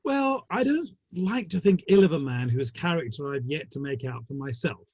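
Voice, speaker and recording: An actor playing a gossipy, urbane Victorian theatre gentleman with a dry, arch manner and a husky voice, in an online play reading. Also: fairly high pitch, lively intonation, normal speed. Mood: wry gossip. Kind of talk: dramatic play reading over the internet. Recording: muffled, roomy online call audio.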